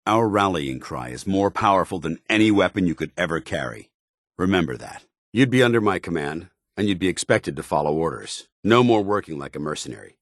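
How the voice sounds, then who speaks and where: Deep-voiced American military commander. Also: male voice, sing-song, no echo, clean studio sound. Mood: firm, proud. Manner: formal, measured military diction. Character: stern, earnest, duty-bound male military commander. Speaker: voice actor in a video game. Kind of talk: video game companion dialogue.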